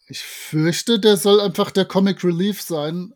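A man's voice: high voice, fairly even intonation, a little slow, a little mellow. A man co-hosting a podcast, casually reviewing an audio drama in a colloquial, wry way. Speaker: podcast co-host.

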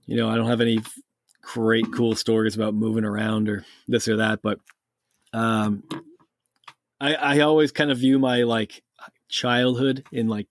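Young male co-host from Massachusetts, halting casual talker, dry close recording. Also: medium pitch, dry recording. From Massachusetts, USA. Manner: rambling, hesitant, many fillers and restarts. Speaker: podcast co-host. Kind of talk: casual chat.

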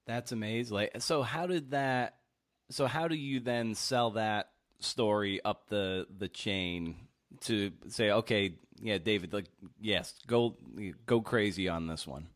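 A man's voice: fairly low pitch, a little slow, a bit bright. A male podcast host asking interview questions in a loose, informal way, circling into each question.

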